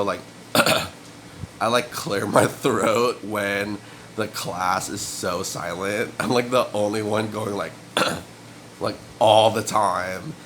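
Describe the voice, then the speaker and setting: Young male vlogger, low-pitched, stuffy with a cold, loose filler-heavy ramble, faint room hum behind. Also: a bit bright. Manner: loose and meandering, filler-heavy, frequent throat clearing and chuckles. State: sniffly with a cold and cough. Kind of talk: rambling casual monologue to camera. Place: room at home. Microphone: camera mic.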